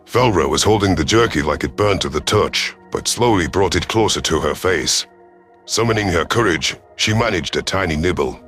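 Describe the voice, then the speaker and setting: A man voicing a proud, gruff reptilian predator commander who narrates a sci-fi story in the first person, stern and formal. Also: sits low, expressive, normal speed, a bit bright, soft background music. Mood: grave narration. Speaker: narrator in character.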